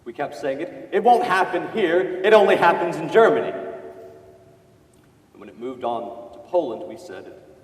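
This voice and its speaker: A man's voice: fairly high pitch, expressive, normal speed. A man giving a scripted dramatic reading as one of a group of readers. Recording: distant mic in echoey hall.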